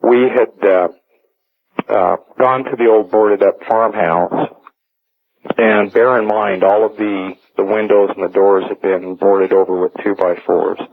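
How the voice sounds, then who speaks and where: Halting low-voiced man on tinny phone line. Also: steady tone, vocal fry. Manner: halting, deliberate, many long hesitations. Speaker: radio caller. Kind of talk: unscripted personal storytelling.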